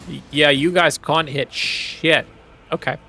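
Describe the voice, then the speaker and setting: Easygoing male game streamer, slightly high. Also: fairly even intonation, slow, vocal fry, pushed and bright, soft background music. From Zimbabwe. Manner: easygoing, chatty, laughs often, talks to chat. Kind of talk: casual gameplay commentary.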